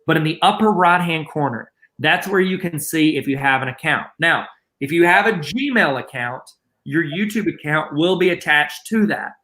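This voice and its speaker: Bright-voiced Southern man explaining YouTube. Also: a little high, animated, normal speed. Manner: joking, chatty, self-deprecating asides. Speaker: guest presenter teaching teachers. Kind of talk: casual instructional webinar.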